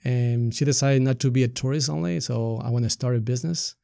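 A man's voice: mid-range voice, brisk, creaky, dark tone, no echo, studio quality. A middle-aged man with an Ecuadorian Spanish accent, explaining things conversationally as an interview guest and often checking points with a tag question. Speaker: podcast guest, community activist and soccer coach.

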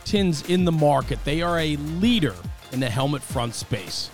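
A man reading a sponsor ad in an upbeat pitchman delivery. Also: animated, normal speed, soft background music. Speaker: ad read announcer. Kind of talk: read sponsor ad.

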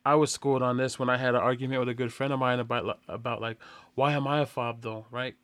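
A man hosting a casual interview, talking in an animated, emphatic way that keeps checking in with "you know" and "right?". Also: mid-range voice, fast, clear, resonant tone, dry recording. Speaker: host.

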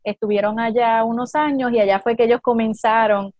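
A woman with a Puerto Rican accent in a casual chat, pausing often with fillers. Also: fairly high pitch, steady tone, a little mellow, no echo. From Puerto Rico. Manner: hesitant, many fillers. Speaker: guest.

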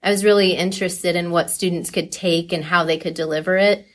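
A woman's voice: lowish voice, fairly even intonation, fairly quick. A woman answering interview questions as a coach, casual, thoughtful and candid. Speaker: forensics coach being interviewed.

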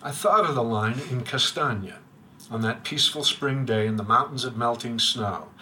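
Guy in his 60s reading a lecture, bright voice, slow and careful, trails off quiet. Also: medium pitch, slight background noise, poor audio. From United States. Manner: measured and literary, dropping quiet at line ends. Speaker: speaker giving a lecture. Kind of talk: reading a prepared reflective talk. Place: room with a live audience.

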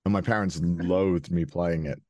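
A young man with a very deep voice talks casually as an interview guest. He stumbles and restarts often and laughs easily. The recording is close and dry. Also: fairly even intonation, a little slow, a little mellow, no room sound. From Wales. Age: 20s. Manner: hesitant, many restarts and fillers, laughs often.

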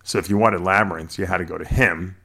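A man's voice: sits low, steady tone, fast, vocal fry, bright, forceful tone. A middle-aged American man talking casually as an interview guest, explaining things in an emphatic, talkative way with repeated phrases. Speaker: podcast guest, bodybuilder and snake breeder.

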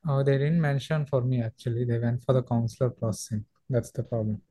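A man's voice: mid-range voice, steady tone, fairly quick, warm tone, no echo. A man calling in with questions for a lawyer, speaking politely and hesitantly. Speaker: caller. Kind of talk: asking legal questions on a call. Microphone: conference call line, close and dry.

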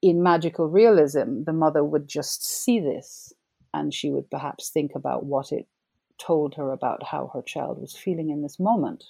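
A woman's voice: low voice, normal speed, creaky, dark tone, breathy edge, no room sound. A middle-aged woman novelist from Jamaica, talking about her writing in a thoughtful, reflective interview. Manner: thoughtful, reflective, laughs as she talks. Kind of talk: conversational interview.

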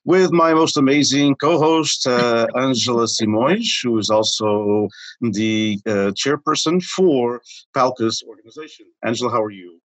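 A man hosting a conversational podcast, introducing his guest in a halting, deliberate way. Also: medium pitch, dry recording. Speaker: podcast host.

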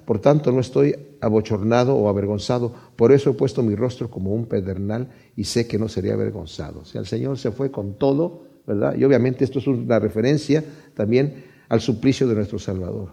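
Male preacher, earnest and conversational, husky mellow tone. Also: mid-range voice. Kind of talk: sermon.

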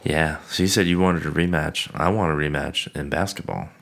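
A man with a very deep, low voice, talking loosely on his own podcast. He rambles easily and restarts his sentences as he goes, relaxed banter between friends. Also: normal speed, creaky. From Washington, D.C. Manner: easygoing, rambling, self-correcting. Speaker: podcast host. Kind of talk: casual chat.

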